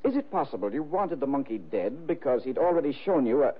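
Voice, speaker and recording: An actor playing a suave, menacing crime boss with pompous, formally witty speech in a 1950s radio drama. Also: male voice, medium pitch, big pitch swings, brisk, husky. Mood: haughty. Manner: pompous, drawling formal wit. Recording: narrowband 1950s radio broadcast.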